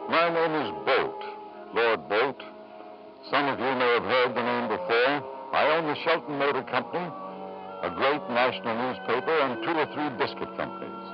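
An actor playing a self-assured, ambitious English businessman and doting father in a 1950s radio drama, measured and persuasive. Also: medium pitch, lively intonation, over music, natural voice. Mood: grave, reflective. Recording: dull, noisy 1950s radio recording.

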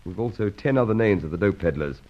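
An actor playing an authoritative, easily exasperated police inspector in an old radio serial, brisk and used to giving orders. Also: male voice, lowish voice, animated. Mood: dry, exasperated. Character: gruff, commanding male police inspector, exasperated by his quarry. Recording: dull, lo-fi old radio recording.

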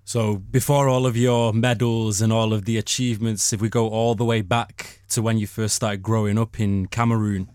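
A man's voice: on the low side, flat delivery, normal speed, few pauses, a little mellow, clear, resonant tone, no room sound, studio quality. A male interviewer asking conversational questions and dropping in "you know" as he talks.

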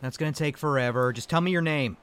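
A man's voice: mid-range voice, fast, a bit bright, clean tone, lightly acted. A man playing a cocky, combative, self-important office worker and conspiracy streamer in an audio comedy drama. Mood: sarcastic.

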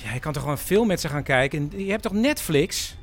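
An actor playing a blunt, needling relative at a family gathering in a radio play, casual and sarcastic. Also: male voice, on the high side, expressive, fast talker. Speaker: actor in an audio drama. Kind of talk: naturalistic radio play acting.

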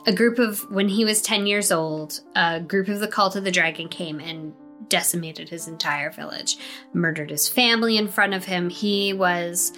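A woman's voice: lowish voice, fairly bright tone, soft background music. A woman telling her character's backstory as a tabletop game player, hesitant with many fillers. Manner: unhurried, hesitant, many ums.

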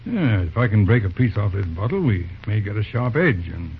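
An actor playing a calm, resourceful older uncle in an old-time radio drama, genteel and commanding. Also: male voice, lowish voice, moderate pace. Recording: tinny, hissy old radio recording.

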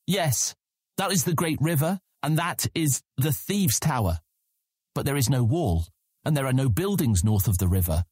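A guarded young guildmaster in a fantasy audio drama. His mid-range voice has a husky edge. He chooses his words politely and carefully, recorded dry. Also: mid-range voice, lively intonation, moderate pace, darkish tone, no room sound. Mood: curious.

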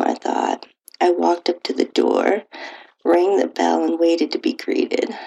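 A woman narrating a first-person erotic story in an intimate, breathy way, often dropping toward a whisper. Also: fairly low pitch, a little mellow, low-quality recording. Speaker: podcast host reading own stories.

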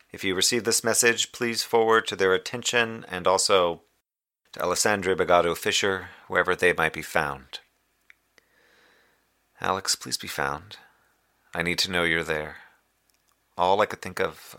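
A man playing a lonely, guilt-ridden communications officer stranded on a moonbase, speaking a halting, brooding distress log. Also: bright, forceful tone, full character voice. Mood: weary, formal, then wistful, grieving. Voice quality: low, gravelly. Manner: halting, brooding, drifting into recited poetry. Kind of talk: audio drama distress-log monologue.

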